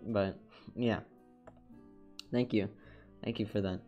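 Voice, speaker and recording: Young male streamer, congested and nasal, rambling casual chat, slightly low voice. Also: fairly even intonation, slow, dark tone, highs cut off, faint music behind. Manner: rambling, repeats words and restarts, laughs often.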